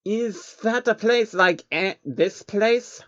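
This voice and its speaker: A man voicing a naive, excitable male insectoid pony creature from a fan storybook reading, curious and wide-eyed. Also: sits high, slow pace, muffled recording, voice pushed up.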